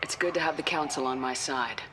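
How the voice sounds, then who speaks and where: Give the game's heroine a low, assertive woman's voice. She is a resolute soldier who talks directly and without fuss. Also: brisk, music in the background. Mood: businesslike. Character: resolute female space-soldier hero, no-nonsense. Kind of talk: video game dialogue acting.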